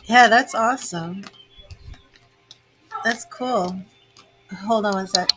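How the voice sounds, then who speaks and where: An American woman in her fifties hosting a live tarot reading, chatty and casual, laughing often and filling pauses with "um". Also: mid-range voice, fairly bright tone, a little hiss or hum, low-quality recording. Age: 50s. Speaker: tarot reader hosting a livestream. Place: home with grandchildren and birds in earshot.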